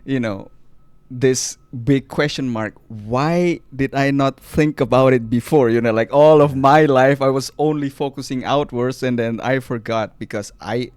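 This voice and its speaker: Podcast host guy from indonesia, mid-pitched, thinks out loud, dry close sound. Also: unhurried, clear, resonant tone, no room sound. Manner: thoughtful, searching, frequent restarts. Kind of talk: reflective interview conversation.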